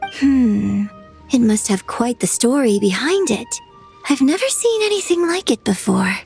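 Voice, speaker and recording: A woman's voice: on the high side, expressive, measured pace, gravelly, a little mellow, soft background music. A woman voicing a gracious, polite young female writer who speaks with poise in a game cutscene. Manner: courteous, poised, formal.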